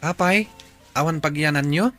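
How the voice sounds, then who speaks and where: An actor playing a friendly, eager young student cousin in an Ilocano radio drama. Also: male voice, on the high side, moderate pace, bright, forceful tone, soft background music. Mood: curious, friendly.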